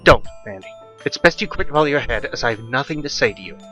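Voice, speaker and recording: A man's voice: mid-range voice, expressive, quick pace, highs cut off, faint music behind. A man voicing a protective mother in an audiobook, fierce yet tender. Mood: scolding. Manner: sharp with husband, soothing to child.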